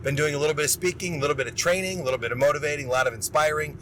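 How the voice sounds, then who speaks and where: A man's voice: a little high, rapid-fire, a little hiss or hum, rough recording. A man hosting his own motivational podcast, talking casually and energetically with rambling asides while he is losing his voice. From Illinois. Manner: energetic, rambling, self-interrupting. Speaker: podcast host.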